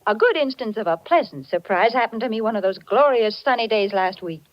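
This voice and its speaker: Cheery american lady doing old radio ad, swoopy high voice, dry dull 40s sound. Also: animated, a little fast, no room sound. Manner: cheery, gushing. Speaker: radio commercial announcer. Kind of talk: scripted 1940s radio advertising. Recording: muffled 1940s radio recording.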